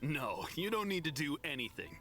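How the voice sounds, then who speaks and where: A man's voice: fairly high pitch, sing-song, normal speed, pushed and bright, soft background music. A man voicing a snobbish, zealous devotee of a spiritual counselor in a video game. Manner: haughty, zealous.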